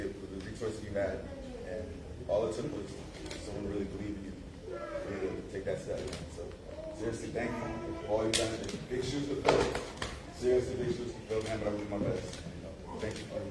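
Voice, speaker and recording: A man's voice: on the low side, steady tone, a little fast. A man giving a casual, earnest farewell speech to a colleague at a ceremony, full of fillers like "man" and "dude", speaking without a mic. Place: large room at a ceremony. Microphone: no mic, recorded from a distance. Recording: echoey room from a distance, with noise.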